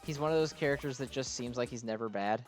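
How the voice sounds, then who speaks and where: A man's voice: medium pitch, quick pace, faint music behind. Gushing man doing casual gameplay commentary. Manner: gushing, enthusiastic, exclamatory. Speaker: gaming video host.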